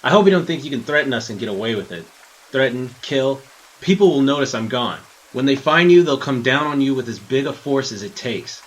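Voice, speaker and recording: A man playing a blustering, righteous captive detective in an audio drama, husky and forceful, with an earnest, indignant manner. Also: medium pitch, animated, fairly quick, lightly acted, low-quality recording. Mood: defiant. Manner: earnest, indignant, tough-talking.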